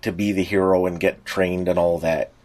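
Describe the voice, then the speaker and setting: A man co-hosting a podcast, chatting informally and haltingly as he recalls things. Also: deep voice, little pitch movement, darkish tone. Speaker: podcast co-host. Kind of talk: casual chat.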